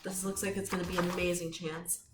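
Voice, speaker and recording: A woman reading a picture book aloud to children in an expressive, inviting way, with friendly chatty asides. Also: lowish voice, fast, faint music behind. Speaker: children's storytime reader. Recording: roomy echo.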